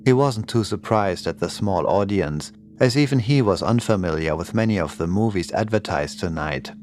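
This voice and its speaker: A man's voice: low voice, animated, moderate pace, darkish tone, slight background noise. A man reading scripted horror fiction as an audiobook narrator, in the manner of a prepared storytelling performance. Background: creepy music and sound effects.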